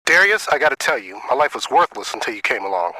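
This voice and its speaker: A man's voice: mid-range voice, sing-song, fast talker, pushed and bright, no echo, heavily acted, rough recording. An actor playing a dazed, gushing male fan calling a radio show in a video game. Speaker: radio call-in listener.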